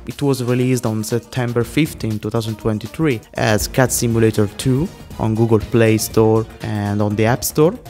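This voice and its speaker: A man from Italy with an Italian accent, reading a scripted video narration at a quick pace. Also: lowish voice, a little mellow, soft background music. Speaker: YouTube narrator.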